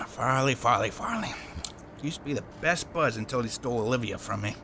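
A man's voice: medium pitch, expressive, moderate pace, gravelly, noisy background. A man in an audio drama playing a cocky, eccentric starship engineer, chatty, slangy and rambling. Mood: boastful.

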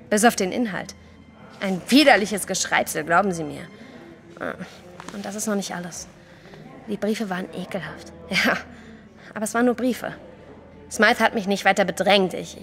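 A woman's voice: medium pitch, moderate pace, creaky, long pauses, fairly bright tone, soft background music. An actress playing a frightened, indignant young woman in an audio drama, stammering hesitantly at times and speaking emphatically. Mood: anxious. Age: young adult.

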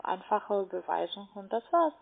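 Female call centre agent, non-native speaker, halting delivery, medium pitch, narrowband phone sound. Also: very animated, creaky. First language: not German. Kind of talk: phone sales call, step-by-step instructions. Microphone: telephone. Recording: muffled narrowband phone line.

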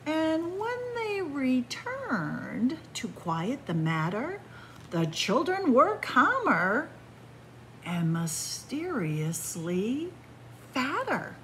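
A middle-aged woman reading a picture book aloud to children in an animated, deliberate storytelling manner. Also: sits high, a little hiss or hum. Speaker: library story-time reader. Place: indoors in a library among bookshelves.

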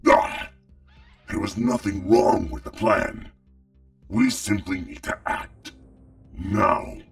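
Gravelly, deep, menacing dragon warlord. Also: male voice, slow, dry recording, recorded from a distance, soft background music. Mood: angry, commanding. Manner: thunderous, forceful, imperious. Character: menacing, commanding male dragon war leader. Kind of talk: audio drama acting.

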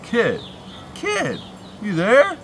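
Young man with wildly swooping pitch, outdoors. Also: huge pitch swings, extremely slow. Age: 20s. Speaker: comedy sketch performer. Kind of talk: scripted casual phone chat. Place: outdoors on steps by a sports field. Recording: outdoor camera audio, birds, ambient noise.

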